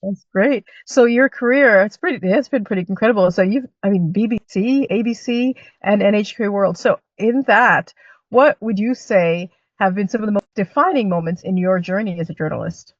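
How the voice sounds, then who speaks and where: A woman hosts the interview, putting her questions in a measured, steady way and giving brief nods of agreement in between. Her mid-pitched voice sounds dry and close, with a slightly dull, muffled tone. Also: no echo. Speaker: interviewer and bureau chief.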